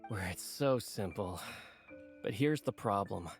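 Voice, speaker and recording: A man playing a courteous, earnest book editor in a video game, explaining things in a measured, formal way. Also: lowish voice, expressive, soft background music. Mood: earnest, explanatory. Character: polite, earnest, wordy male book editor. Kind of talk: video game character dialogue.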